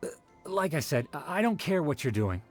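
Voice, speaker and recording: An actor playing a resentful common laborer in a video game, curt and dismissive. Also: male voice, fairly high pitch, very animated, normal speed. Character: gruff, resentful male townsman, a common laborer. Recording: game music behind the voice. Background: music.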